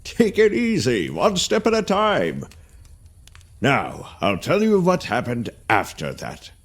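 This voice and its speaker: A man playing a patient storyteller, narrating a knight's adventure for a video game in a measured, dramatic way. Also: mid-range voice, wildly swooping pitch, exaggerated voice. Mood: patient, indulgent. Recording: long reverb, music underneath.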